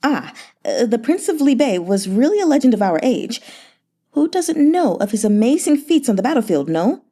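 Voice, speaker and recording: A woman voicing a fawning, smooth-talking young male merchant with a lilting, wheedling way of speaking. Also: fairly high pitch, big pitch swings, moderate pace, dark tone, voice pushed up. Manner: lilting, wheedling, full of little interjections.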